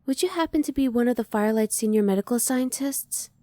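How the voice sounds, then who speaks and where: A woman voicing a curious, emotionally reserved alien scientist in an ASMR roleplay, speaking softly in measured, formal phrases. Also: fairly high pitch, no room sound, close to an everyday voice. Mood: apologetic, restrained. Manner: soft, measured, formal, restrained. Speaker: voice actor.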